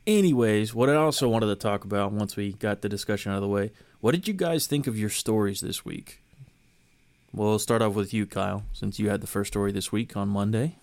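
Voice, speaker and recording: A man's voice: on the low side, a little fast, darkish tone. A man hosting a casual podcast chat, easygoing and talkative. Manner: chatty, easygoing, laughs often. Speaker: podcast host.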